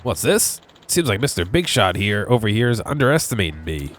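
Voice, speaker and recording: A man's voice: on the low side, big pitch swings, brisk, fairly bright tone, voice pushed up. A congested man voicing a cocky young male punk who claims a famous name, with brash, swaggering taunts. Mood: taunting.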